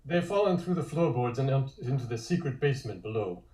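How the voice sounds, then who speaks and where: Casting: male storyteller for table-read narration, warm mid-range voice, measured; roomy audio. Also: medium pitch, lively intonation. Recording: roomy, echoey sound.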